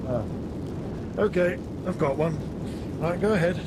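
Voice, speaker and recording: Sarcastic, higher-voiced airline captain. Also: male voice, a little slow. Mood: flustered. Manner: sardonic, grumbling. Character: weary, sarcastic airline captain, easily exasperated. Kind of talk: radio drama acting. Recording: rumbling noisy old radio broadcast.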